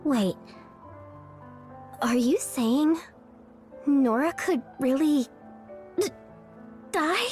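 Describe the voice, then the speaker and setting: A woman's voice: high voice, animated, extremely slow, pauses often, darkish tone, soft background music, heavily acted. An actress voicing a small, naive, childlike girl in an anime-style game, plaintive, simple and direct. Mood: shocked, pleading.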